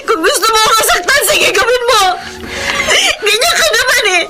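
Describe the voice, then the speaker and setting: An actress playing a resentful, volatile younger sister in a Filipino radio drama, with wailing, defiant delivery. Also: female voice, very high-pitched, animated, normal speed. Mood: bitter, tearful. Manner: wailing, defiant, melodramatic outbursts. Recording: loud dramatic music underneath.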